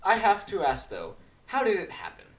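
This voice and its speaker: A genteel pony mare musician, acted by a man, polite and reserved. The pitch moves expressively in a fan storybook reading. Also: huge pitch swings, normal speed. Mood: surprised. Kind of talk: amateur dramatic fan fiction reading.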